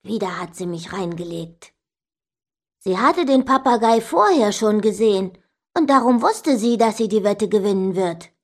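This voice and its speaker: A woman's voice: medium pitch, moderate pace. A woman voicing an indignant young child who tells stories about an older sister, expressively and straight to the listener. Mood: dismayed, grumbling. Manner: expressive, emphatic, addressing the listener directly. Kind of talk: scripted children's story narration.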